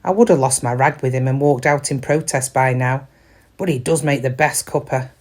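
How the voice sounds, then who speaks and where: An actress playing a middle-aged British shop worker in a confiding, wry, conversational monologue, kind but quietly weary. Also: female voice, extremely low pitch, moderate pace. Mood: wry, chatty. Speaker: actor in a dramatic monologue.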